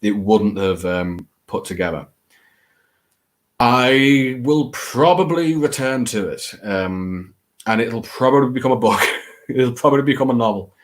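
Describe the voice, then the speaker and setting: A man's voice: medium pitch, a little slow. A man with a Scouse accent hosting a casual, rambling live chat, speaking in a hesitant, self-deprecating way with theatrical flourishes. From England. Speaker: host of a late-night livestream.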